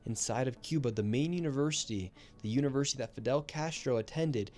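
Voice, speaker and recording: A man's voice: mid-range voice, fast talker, darkish tone. A man reading scripted narration for a travel documentary video.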